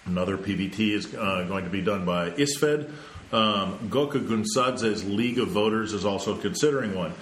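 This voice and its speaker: A man's voice: lowish voice, normal speed, slight background noise. A man hosting a casual news roundup, reading items aloud and breaking off to joke about them. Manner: reads news items then riffs with jokes. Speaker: news podcast host. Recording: echoey room, faint ambience.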